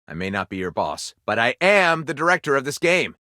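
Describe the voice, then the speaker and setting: A man's voice: medium pitch, sing-song, a little fast, pushed and bright, dry recording, studio quality. A man voicing a weary, theatrical, fussy game developer in a video game, dramatic and prone to interrupting himself. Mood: flustered. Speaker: game director character.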